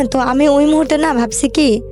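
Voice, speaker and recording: A woman's voice: high voice, moderate pace, over music. A young woman with a Bangladeshi accent tells her life story in an interview, colloquially, in run-on sentences with quoted conversations.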